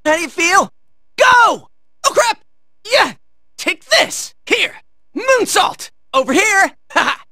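An American man playing a cocky, excitable teenage boy in a video game, barking out brash, high-strung battle lines. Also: slow pace, creaky, pauses often, no room sound, clean studio sound, heavily acted. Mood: fired-up, cocky.

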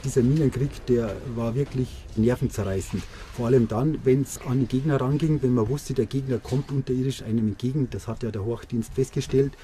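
Male expedition leader for documentary segment, extremely dark timbre, slight ambient noise. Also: medium pitch, fairly quick, few pauses. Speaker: research team leader. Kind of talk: documentary interview and reading aloud. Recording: dull sound with ambient noise.

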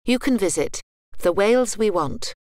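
A woman giving calm, measured scripted narration for a public information video. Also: medium pitch, dry recording, studio quality.

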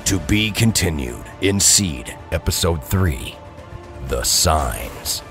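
A man's voice: deep voice, expressive, takes it slowly, over music. A man giving scripted, dramatic narration as the narrator of an audio drama.

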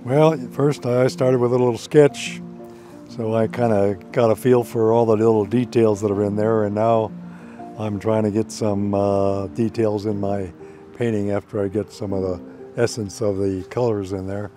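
A man's voice: on the low side, level tone, moderate pace, mellow. An older man, a painter, casually explaining his work outdoors to a camera nearby. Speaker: painter being interviewed. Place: outdoors on a lawn. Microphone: camera a few feet away. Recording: background music over outdoor voice.

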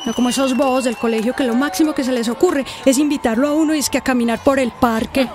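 A woman's voice: high-pitched, steady tone, fast, crowd noise, background noise, natural voice. An actress playing a curious, practical teenage schoolgirl with a Colombian accent in a radio soap, chatty and inquisitive. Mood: admiring, chatty. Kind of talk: radio soap opera acting.

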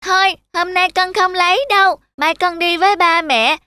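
A woman's voice: very high-pitched, steady tone, moderate pace, sharp tone, no room sound. A woman voicing an affectionate, earnest little boy in a cartoon. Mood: caring, concerned. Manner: earnest, childlike.

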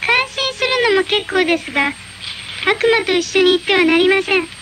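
A woman playing a very high, prim scheming angel. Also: brisk, background noise. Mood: stern. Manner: politely formal, cool. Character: prim scheming female angel, polite but calculating. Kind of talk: radio drama acting.

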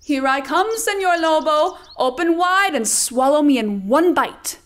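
Clever puppet ewe, played by a woman: very high voice, meek pleading, melodramatic, for children's theatre. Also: expressive, a little slow. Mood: eager. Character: clever female sheep feigning meek helplessness. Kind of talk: children's puppet show character acting.

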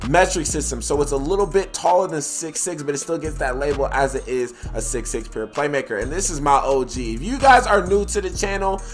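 A young man talks straight to his viewers, hyped and full of slang, his voice set a little high. His nose is stuffed up. Game music plays faintly behind him. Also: a little fast, hardly any pauses. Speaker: gaming YouTuber. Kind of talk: gameplay commentary.

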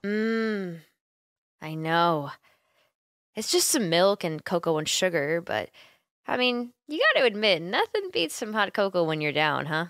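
Woman acting a caring, teasing roommate, lively intonation. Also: fairly low pitch, a little slow, no echo, clean studio sound, exaggerated voice. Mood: affectionate, coaxing. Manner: playful, gently coaxing, giggly, hesitant. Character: caring, teasing young female roommate comforting her friend. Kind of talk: ASMR roleplay, intimate one-sided conversation.

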